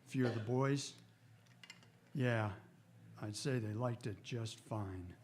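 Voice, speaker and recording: An actor playing a stern, laconic frontier marshal in a western radio drama, speaking in terse, folksy, matter-of-fact lines. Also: male voice, mid-range voice, slow pace, darkish tone, slight background noise, close to an everyday voice. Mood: weary, wry.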